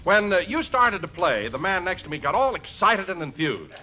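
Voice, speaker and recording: An actor playing an affable, chatty announcer friend in a 1940s radio comedy. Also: male voice, sits high, big pitch swings, moderate pace, bright, forceful tone, exaggerated voice. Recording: noisy old 1940s radio recording.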